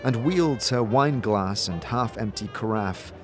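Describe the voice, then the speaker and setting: A man narrating a scripted fantasy audio drama in a measured, descriptive storytelling manner. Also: lowish voice, over music. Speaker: narrator and game master.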